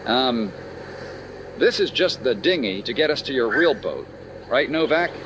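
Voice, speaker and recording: An actor playing a wry, polite and businesslike insurance investigator in an old-time radio detective drama. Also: male voice, medium pitch, expressive, unhurried, noisy background, natural voice. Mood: curious, teasing. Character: upbeat, courteous, wry male insurance investigator.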